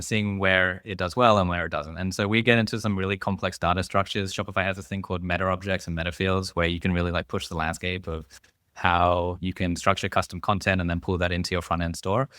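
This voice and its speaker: A man's voice: sits low, a bit bright, clean tone, dry recording, clean studio sound. A man speaking as an expert panelist, thinking aloud as he explains in a conversational way.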